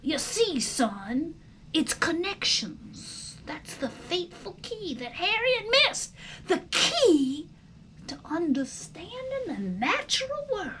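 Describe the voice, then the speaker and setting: A woman's voice: very high-pitched, very animated, slow, a bit bright, slight background noise, pitched above the performer's own voice, exaggerated voice, low-quality recording. A woman voicing a patient father earthworm who lectures his son in a didactic, emphatic way, as part of a storybook reading. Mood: patient lecturing.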